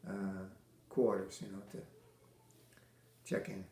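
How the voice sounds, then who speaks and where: An older man from Massachusetts, a military veteran, answering interview questions with halting restarts, many fillers and dry teasing humor. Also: sits low, flat delivery, warm tone. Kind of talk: oral history interview. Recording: echoey room.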